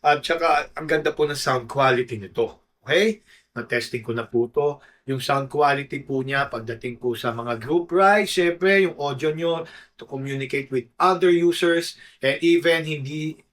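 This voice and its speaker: A man's voice: on the high side, normal speed, fairly bright tone. A Filipino man giving a casual product review to camera, informal and often checking in with short tag questions. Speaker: motorcycle gear reviewer.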